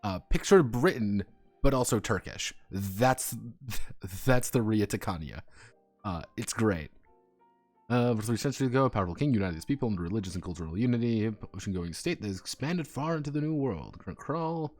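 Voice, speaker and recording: A man's voice: lowish voice, lively intonation, fairly quick, a little mellow, soft background music. A man live streaming as a game designer, rambling casually between reading draft text aloud and making chuckling asides.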